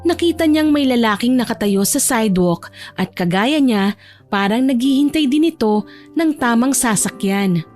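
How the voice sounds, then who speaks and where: A woman's voice: fairly high pitch, lively intonation, normal speed, a little mellow, faint music behind. A woman reading scripted narration for an audio romance drama as the storyteller.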